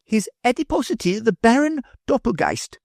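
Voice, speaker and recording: Male reader as a timid, meek old professor, pitched up and hesitant. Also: very animated, normal speed, creaky, a little mellow, no room sound, clean studio sound, exaggerated voice. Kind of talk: audiobook character reading.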